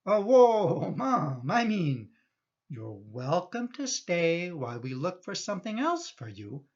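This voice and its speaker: A woman's voice: on the low side, sing-song, takes it slowly, darkish tone, slightly rough, dull audio, exaggerated voice. A woman playing an exasperated, protective middle-aged son in a radio play, indignant and emphatic in manner. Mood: flustered.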